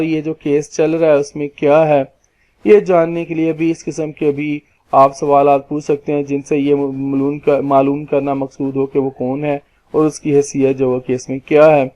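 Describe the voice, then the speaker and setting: A man with a Pakistani accent explaining legal points as a lawyer, in a formal, deliberate lecture style that sometimes repeats phrases. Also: on the high side, dark tone. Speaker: lawyer giving legal lessons.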